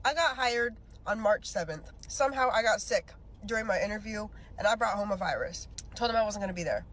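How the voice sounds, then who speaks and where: A woman's voice: mid-range voice, lively intonation, brisk, fairly bright tone, rough recording. Sick, aggrieved woman venting. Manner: aggrieved, rambling. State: ill with a chest cold. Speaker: social media poster. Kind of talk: venting clip to camera.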